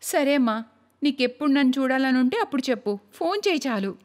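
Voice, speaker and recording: A woman voicing a demanding, sharp-tongued, scheming young married daughter in a cartoon moral story. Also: high-pitched, animated, brisk. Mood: sweet.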